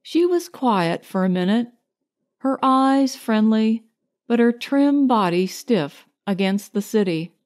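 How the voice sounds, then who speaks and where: A woman's voice: on the high side, lively intonation, slow pace, darkish tone. A woman reading a short story aloud as scripted audiobook narration.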